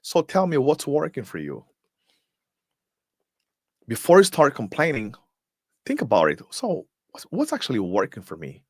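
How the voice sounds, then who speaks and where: A man's voice: medium pitch, wildly swooping pitch, normal speed, long pauses, a little mellow, slightly rough, no echo, clean studio sound. A man whose first language is Portuguese gives a live-streamed motivational talk as host. He is emphatic, fires off rhetorical questions, and repeats words as he searches for them.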